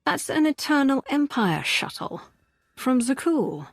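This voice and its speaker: An actress playing a composed, resolute woman commander in a video game, speaking in a measured, firm way. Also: high voice, sing-song, vocal fry, no room sound, clean studio sound, exaggerated voice. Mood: calm, earnest. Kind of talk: dramatic video game voice acting.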